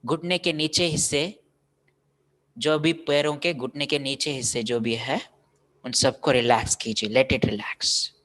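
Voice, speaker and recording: A meditation guide with an Indian accent, giving instructions in short phrases with pauses, in the style of a guided relaxation. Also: mid-range voice, fairly even intonation, fairly bright tone. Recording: meditation music and singing bowls behind. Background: music, singing bowls, tuning fork chimes.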